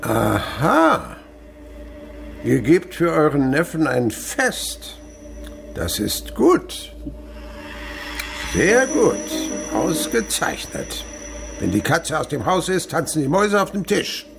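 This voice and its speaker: An older man playing a stern, miserly old father in a radio drama, sarcastic and blustering, with a gravelly voice. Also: a little high, wildly swooping pitch, a bit bright, soft background music, full character voice. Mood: mocking. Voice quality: gravelly, husky. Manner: sarcastic, blustering, drawn-out words.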